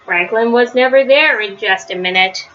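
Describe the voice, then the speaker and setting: A woman reads a picture-book story aloud for children. Her voice is bright and fairly high, and the recording is slightly dull with faint noise. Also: normal speed.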